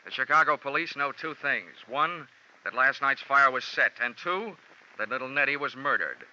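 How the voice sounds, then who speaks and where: A man's voice: medium pitch, expressive, moderate pace, bright, forceful tone. An American man playing a cynical, wisecracking insurance investigator in hardboiled 1940s radio style, with clipped, sardonic delivery. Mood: tough, controlled. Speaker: lead actor and narrator of a detective radio series. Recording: muffled vintage radio recording, music.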